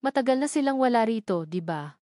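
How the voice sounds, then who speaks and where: A woman's voice: fairly high pitch, lively intonation, a little fast, warm tone, no room sound, studio quality, natural voice. A woman voicing an anguished, pleading young daughter in an audio drama story. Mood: stunned, resigned.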